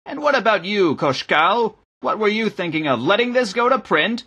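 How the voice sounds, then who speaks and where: A man's voice: sits high, wildly swooping pitch, unhurried, pushed and bright, breathy edge, exaggerated voice. A man voicing an irate, scornful bishop in an audiobook, snarling and barking orders and sarcastic questions. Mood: scornful, furious. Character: irate, bullying male bishop, loud and scornful.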